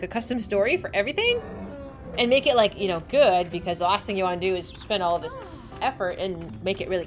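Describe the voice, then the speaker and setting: Chatty young woman streamer, lively. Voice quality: slightly squeaky. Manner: excitable, chatty, laughing often. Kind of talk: casual chat while playing a game.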